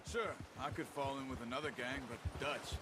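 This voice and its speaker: An actor playing a calm, watchful, pragmatic outlaw hunter in a video game cutscene, speaking in a measured, matter-of-fact way. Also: male voice, mid-range voice, background noise, natural voice. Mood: reflective, sincere.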